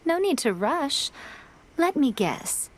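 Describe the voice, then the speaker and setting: A woman voicing a sly, teasing, elegant fox shrine priestess in a video game, unhurried and smug. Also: high-pitched, sing-song, a little hiss or hum, heavily acted. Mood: amused, smug. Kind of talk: video game character dialogue. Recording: game music behind the voice.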